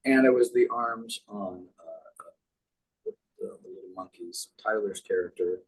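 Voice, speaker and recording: A man with a low, distinctly husky voice talks casually as an interview guest. He reminisces like an animated storyteller, in a loose conversational style. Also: measured pace, poor audio. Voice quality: deep, husky. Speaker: guest, puppeteer.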